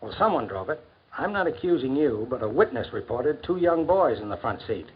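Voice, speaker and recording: A man's voice: mid-range voice, very animated, slightly rough. A man playing a polite but insistent, matter-of-fact police lieutenant in a 1940s educational film. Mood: firm, factual. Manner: formal, deliberate, official. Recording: muffled 1940s film soundtrack, faint noise.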